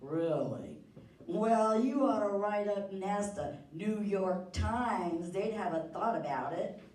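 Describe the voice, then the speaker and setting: A woman's voice: mid-range voice, expressive, slow pace, a little mellow. A woman acting as a chatty, sceptical country farm wife in a radio drama, folksy and teasing. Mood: amused, doubting. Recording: echoey room, distant mic, faint noise.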